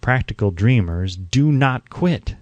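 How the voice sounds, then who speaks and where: A man delivers scripted audiobook narration in a medium-pitched voice. He reads in measured, declarative phrases with an oratorical bent. The recording is dry and close, with no room echo. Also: expressive, a little mellow, muffled recording. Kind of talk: scripted audiobook narration of a self-help book.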